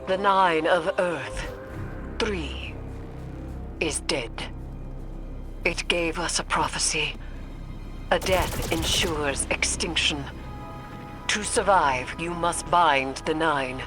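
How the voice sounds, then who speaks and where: An actress playing a solemn, cryptic mystic woman in a video game, speaking in a grave, deliberate and ominous manner. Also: sits low. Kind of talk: dramatic video game acting. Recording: music behind the voice.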